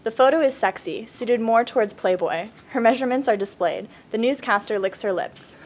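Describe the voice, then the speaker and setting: Female narrator for screenplay directions, somewhat high-pitched, scripted read. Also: normal speed. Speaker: stage-direction reader.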